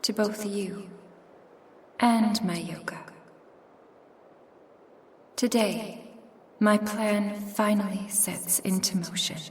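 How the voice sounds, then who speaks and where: A woman's voice: medium pitch, darkish tone, in a large hall, far from the mic. A woman playing a cold, commanding otherworldly schemer in a roleplay ASMR, menacing, imperious and formal.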